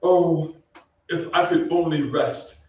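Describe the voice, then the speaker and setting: A man's voice: fairly high pitch, steady tone, slow pace. A man playing a pompous, melancholy centuries-old aristocratic ghost with archaic, theatrical diction in an old-time radio drama. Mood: sorrowful. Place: on stage in a theatre. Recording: distant, echoey stage recording, muffled.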